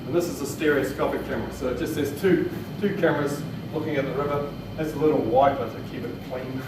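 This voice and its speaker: A man with a New Zealand accent presents technical training in an informal, plain-spoken way. He is picked up from far off in a very reverberant room, with clear noise behind him. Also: medium pitch, steady tone, fast talker. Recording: echoey room, distant mic, noisy.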